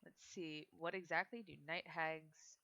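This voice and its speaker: A woman's voice: low-pitched, fairly even intonation, measured pace, sharp tone, dull audio. A woman running a tabletop game as game master, casually switching between narration and rules talk in an informal way.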